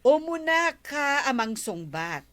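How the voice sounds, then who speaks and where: A woman's voice: sits high, very animated, slow, fairly bright tone, full character voice. A woman acting a firm, questioning character in an Ilocano comedy drama. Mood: insistent. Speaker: radio comedy actor.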